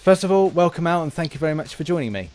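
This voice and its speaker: An Englishman hosting a casual podcast interview for a gaming channel, with halting, rambling explanations. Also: fairly high pitch, animated, darkish tone, faint music behind. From England.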